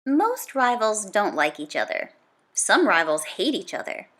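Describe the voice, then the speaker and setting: Animated woman reading a storybook aloud. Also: medium pitch. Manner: dramatic, emphatic delivery with punchy exclamations and drawn-out key words. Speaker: storybook read-aloud reader. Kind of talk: expressive read-aloud narration of a children's nonfiction book.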